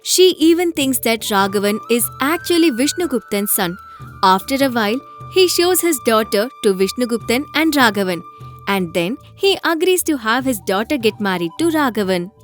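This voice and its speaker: Higher-pitched woman narrating a story. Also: sing-song, moderate pace, soft background music.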